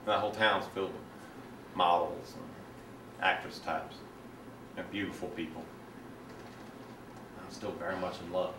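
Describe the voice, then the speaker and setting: A man performing a dramatic monologue as a self-righteous, resentful young man, telling his story in a halting, colloquial, confiding way. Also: low voice, steady tone. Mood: casual, confiding. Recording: echoey room, distant mic, faint noise.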